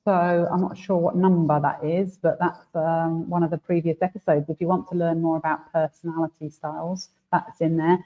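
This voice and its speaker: A female host talks in a relaxed, chatty way, often restarting and adding fillers as she leads the conversation. Her voice sits a little low. The sound is thin and tinny, like a phone or video call. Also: moderate pace, few pauses. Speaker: host and trainer. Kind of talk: casual conversational interview.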